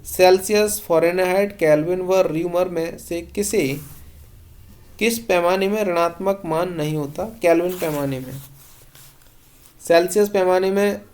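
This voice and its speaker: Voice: mid-range voice, darkish tone. Teacher running question-and-answer revision. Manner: instructional, poses each question then states the short answer. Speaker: exam-prep science teacher. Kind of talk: question-and-answer revision lesson read from notes.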